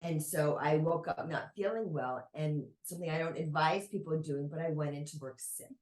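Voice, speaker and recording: Woman, low voice, podcast guest, reflective storyteller, roomy echo. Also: normal speed, darkish tone. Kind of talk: personal storytelling in an interview.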